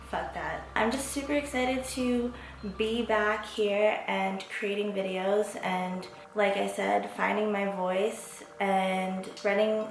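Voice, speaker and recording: Woman, twenties, from Las Vegas. Vlog host, frank and reflective, gravelly fry. Echoey room. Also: medium pitch. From Las Vegas, USA. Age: 20s. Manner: candid and reflective, casual swearing. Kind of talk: casual vlog monologue. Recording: echoey room with background music.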